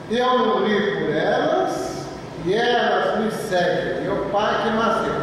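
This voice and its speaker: An older man with a Brazilian Portuguese accent preaching a sermon as a pastor, emphatic, repeating words and drawing phrases out slowly for stress. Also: high voice. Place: church. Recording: echoey church hall from far off, with noise.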